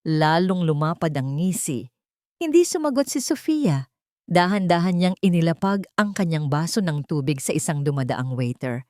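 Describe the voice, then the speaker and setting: A woman's voice: low-pitched, expressive, normal speed, a little mellow, no echo, studio quality. A woman reading a scripted dramatic story as its narrator.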